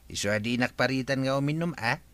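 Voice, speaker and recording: A man's voice: medium pitch, a bit bright, no room sound, lightly acted. A man with an Ilocano accent voicing a joking, drink-loving middle-aged husband in a radio drama. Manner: joking, unbothered.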